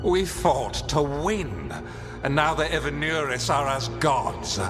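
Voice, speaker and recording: A man's voice: on the high side, very animated, slow pace, over music. A man playing an imperious, scornful elven tyrant-god in a fantasy video game.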